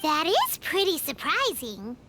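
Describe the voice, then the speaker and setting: A woman voicing a tiny, childlike, cheeky fairy companion in a video game, with a squeaky, exaggerated delivery. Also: wildly swooping pitch, a little slow, background noise, full character voice. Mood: surprised. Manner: speaks of self in third person, exaggerated. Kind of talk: animated video game voice acting.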